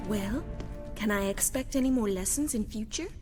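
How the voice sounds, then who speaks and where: A woman's voice: mid-range voice, moderate pace, lightly acted. A woman playing a spirited, haughty young noblewoman in a video game, well-spoken and formal in her phrasing. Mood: polite, chatty. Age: young adult. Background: background music.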